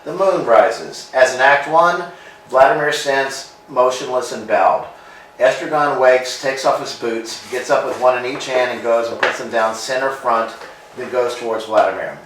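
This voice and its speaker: A man reading out stage directions in a plain, matter-of-fact voice of middling pitch. He sits well back from the mic in a very echoey room with a faint hum behind. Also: a little slow, fairly bright tone, recorded from a distance.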